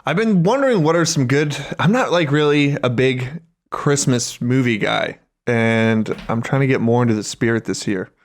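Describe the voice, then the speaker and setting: A man's voice: mid-range voice, moderate pace. Crude, joking male podcaster. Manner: crude, joking, swears freely. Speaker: podcast co-host. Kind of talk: casual chat.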